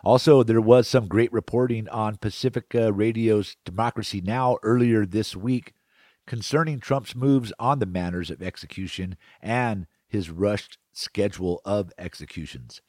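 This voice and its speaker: A man with an American accent presents a radio magazine in a fairly low voice. His delivery is semi-scripted, like a host linking segments. The sound is dry and close. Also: normal speed, a little mellow, no echo.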